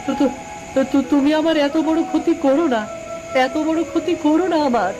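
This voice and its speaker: Woman voicing a high-pitched, earnest cartoon boy. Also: moderate pace, over music, lightly acted, rough recording. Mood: frightened, pleading. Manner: earnest, imploring. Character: brave, earnest, pleading little boy. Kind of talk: cartoon voice acting.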